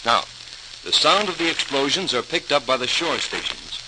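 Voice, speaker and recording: Guy acting eager young son in old radio play, lively up and down voice, real crackly. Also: mid-range voice, moderate pace, lightly acted. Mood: eager explaining. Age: young adult. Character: eager, earnest grown son back on leave. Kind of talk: 1940s radio drama acting.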